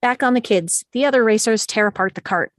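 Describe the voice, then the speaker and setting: A woman's voice: a little high, fairly quick, no room sound, studio quality. A woman reading screenplay stage directions as scripted narration.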